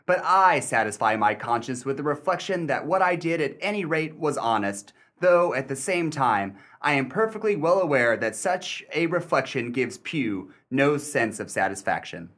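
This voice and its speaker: Man playing a polite, skeptical, animated gentleman adventurer. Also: mid-range voice. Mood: dry, reflective. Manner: articulate, formal, measured. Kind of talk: radio play acting and narration.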